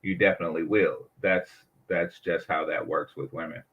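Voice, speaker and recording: A man's voice: low voice, fairly even intonation, normal speed, dull audio, a little hiss or hum. A man speaking as a panel guest, matter-of-fact and sure of himself. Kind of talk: casual panel talk.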